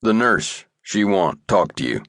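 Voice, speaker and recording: A man voicing a laconic, weary cowboy in a hospital bed, speaking in short, low mumbles. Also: very animated, unhurried, vocal fry, a bit bright, no room sound, studio quality, full character voice. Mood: terse. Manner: terse, mumbled, few words.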